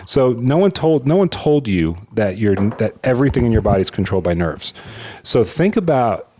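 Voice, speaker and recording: A man's voice: mid-range voice, big pitch swings, moderate pace, dark tone. A man, a chiropractor, explaining health topics as a podcast guest, talking with energy and frequent restarts and repeated words. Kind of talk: conversational interview, explaining.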